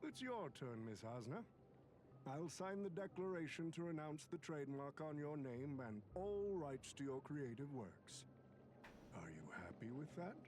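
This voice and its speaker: An actor playing an unruffled, mocking merchant villain in a dramatic video game scene. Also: male voice, on the high side, big pitch swings, measured pace, a little mellow, a little hiss or hum. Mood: smug, taunting.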